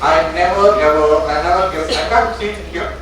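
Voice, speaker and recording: Older indian english guy lecturing, jokey, kinda high voice, faint hiss, super echoey big hall. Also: moderate pace, recorded from a distance. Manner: joking, conversational storytelling. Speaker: doctor giving a memorial lecture.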